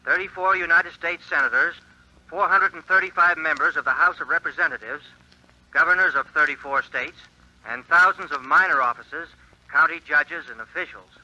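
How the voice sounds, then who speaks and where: An actor playing an earnest 1920s radio election announcer, reading returns in a formal, measured way, in a 1940s radio drama. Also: male voice, fairly high pitch, pushed and bright. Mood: announcing. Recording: dull lo-fi 1940s radio recording.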